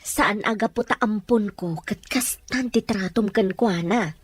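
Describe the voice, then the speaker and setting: Woman acting a husky, indignant mother. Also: mid-range voice, a little slow. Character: indignant mother defending her child. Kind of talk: acted drama dialogue.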